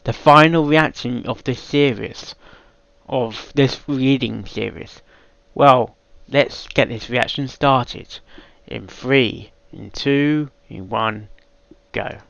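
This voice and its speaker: A man's voice: mid-range voice, takes it slowly, vocal fry, fairly bright tone, muffled recording, slight background noise. A man hosting a reaction video with casual commentary.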